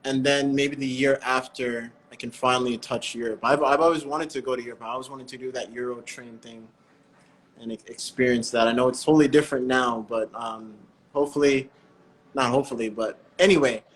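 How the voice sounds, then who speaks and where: A man hosting a breathwork live stream, chatting in a relaxed, friendly way with callers and often correcting himself mid-sentence. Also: mid-range voice, a little fast.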